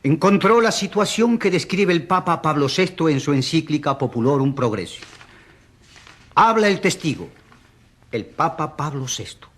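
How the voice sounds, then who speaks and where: A man acts out a fervent, stubborn countryman pleading in a courtroom radio play. His fairly high voice is rough and husky, leaping up and down as he insists, haltingly, on being heard. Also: lively intonation. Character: impassioned, stubborn provincial man pleading for an accused priest. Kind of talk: radio drama acting.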